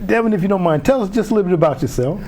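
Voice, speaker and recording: A man's voice: high-pitched, expressive, rapid-fire, darkish tone. A man hosting a community TV interview, chatty and enthusiastic, often restarting his sentences. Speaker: host.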